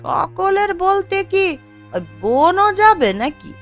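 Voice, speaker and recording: A high-pitched young wife of means voiced by a woman in a cartoon tale. She gushes and boasts to her father in drawn-out phrases, smug and scornful, with faint music behind her. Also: big pitch swings, heavily acted. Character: well-off married elder daughter, smug, scornful of her sister. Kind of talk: Bengali cartoon voice acting.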